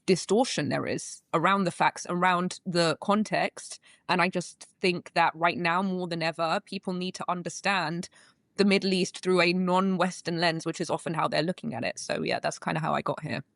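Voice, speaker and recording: A woman's voice: lowish voice, fairly bright tone, dry recording, clean studio sound. A woman, a guest attorney answering interview questions earnestly. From England. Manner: earnest, with restarts.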